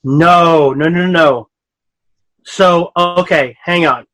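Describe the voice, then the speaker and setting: A man from Europe, speaking English as a second language, chats casually on a podcast. His voice sits a little high, and his stories wander loosely from point to point. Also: takes it slowly, fairly bright tone. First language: not English. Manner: rambling storyteller, laughs often. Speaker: podcast co-host. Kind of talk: casual chat.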